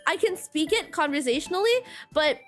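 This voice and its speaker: Woman, high-pitched, Mandarin first language, giggly, rambling casual stream chat, faint music behind. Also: lively intonation, a little fast, a bit bright. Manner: giggly, rambling, many fillers.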